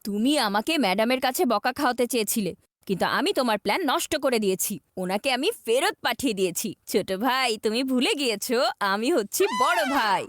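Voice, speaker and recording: A woman's voice: on the high side, lively intonation, fairly quick, fairly bright tone, no echo. A woman voicing a scheming, anxious boy, a mischievous older brother, in a cartoon dub. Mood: gloating. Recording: music behind the voice.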